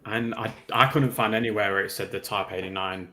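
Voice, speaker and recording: A man's voice: on the low side, steady tone, brisk, sharp tone. A man chatting casually as a panelist on a hobby livestream.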